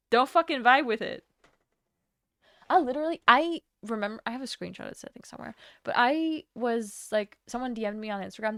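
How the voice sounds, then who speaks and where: A young woman from Latvia streams from her home setup and chats loosely with her viewers, drifting between topics and dropping casual swears. Her voice sits at an ordinary middle pitch. The sound is close and dry, with no room echo. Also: a bit bright. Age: young adult. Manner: chatty and rambling, swears freely. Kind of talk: casual live-stream chat.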